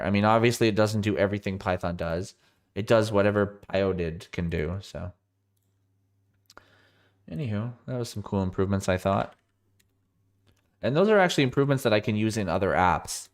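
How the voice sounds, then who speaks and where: A man with a cold and a somewhat low voice talks through code on a live stream. He sounds tired, thinks aloud as he goes, and often catches and corrects himself mid-sentence. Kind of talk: live coding walkthrough.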